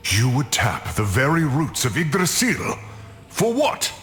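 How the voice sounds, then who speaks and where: A man's voice: mid-range voice, huge pitch swings, measured pace, fairly bright tone, faint music behind. A man playing a stern, defiant warrior god prince in a video game, declaiming in archaic, accusing phrases. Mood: stern defiance. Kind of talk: theatrical video game voice acting.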